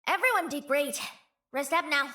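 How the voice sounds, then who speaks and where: A woman voicing a brash, scrappy young female gang leader in a video game, cocky and boastful, hushed and wistful when she remembers. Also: sits high, moderate pace. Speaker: voice actor. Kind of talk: video game character voice lines.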